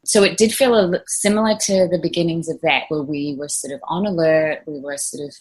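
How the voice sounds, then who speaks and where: Husky New Zealand woman, low voice. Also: fairly even intonation, fairly quick, a little mellow. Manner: earnest, thoughtful, with frequent fillers and restarts. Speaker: ICU nurse guest. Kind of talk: conversational interview answers.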